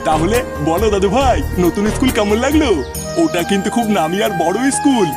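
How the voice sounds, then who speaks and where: Man playing a doting, quick-talking cartoon grandpa. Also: sits high, lively intonation. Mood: coaxing. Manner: coaxing, affectionate. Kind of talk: comic cartoon dubbing. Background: music.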